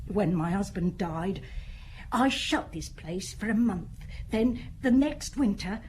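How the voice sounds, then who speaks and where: An actress playing a blunt, sociable middle-aged widow who keeps a small bar, talking plainly and colloquially in a radio play. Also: female voice, medium pitch, a little mellow, a little hiss or hum. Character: fat, sociable, blunt older widowed woman bar keeper. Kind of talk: radio drama acting.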